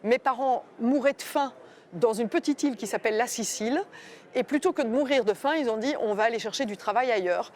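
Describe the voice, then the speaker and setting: A woman making a firm argument in a panel debate, with measured pauses. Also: on the high side, darkish tone.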